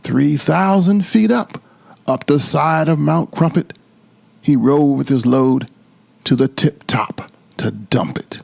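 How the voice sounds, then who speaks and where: A man reading a storybook aloud as storyteller, in an expressive, theatrical and deliberate style. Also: a little high, gravelly, no echo.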